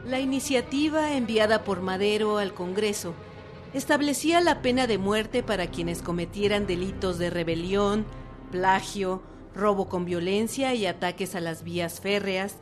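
A woman's voice: mid-range voice, measured pace. A woman narrating a scripted historical documentary in a formal, articulate manner. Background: music.

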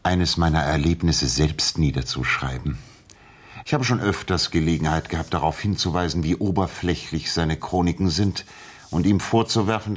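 A master detective from a 1960s radio play. The voice is very deep and rough-edged. He speaks with pedantic precision and a dry, superior composure. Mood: dry, reflective. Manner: measured, pedantic, dryly superior. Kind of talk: 1960s German radio play acting and first-person narration.

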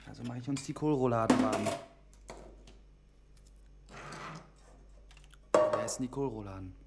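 An actor in his twenties playing a withdrawn, defensive son in a naturalistic radio drama, with a halting, subdued delivery. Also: on the low side, gravelly, heavy background noise. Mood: flat, resigned. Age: 20s.

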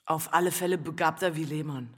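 A woman's voice: low-pitched, a little fast, slightly rough. An actress playing a flirtatious, mocking, streetwise woman in a German radio drama, teasing and gossipy. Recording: long reverberant hall with music behind.

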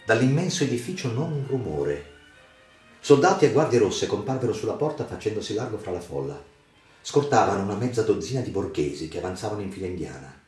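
A man narrates a radio drama as literary reportage, in a measured, theatrical, solemn delivery with deliberate pauses. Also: sits low. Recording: reverberant voice over music. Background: music.

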